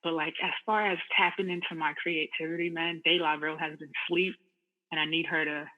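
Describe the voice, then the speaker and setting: A young man co-hosting a casual podcast, rambling with lots of fillers and excitable asides. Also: fairly high pitch, brisk, phone-quality sound. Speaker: podcast co-host.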